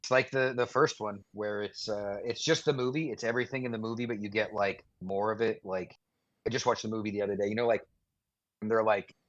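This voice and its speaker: A man's voice: fairly low pitch, steady tone, a little fast, dry recording. A man co-hosting a podcast, chatting casually and informally. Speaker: podcast co-host. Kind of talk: casual chat. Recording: dull, slightly lo-fi microphone.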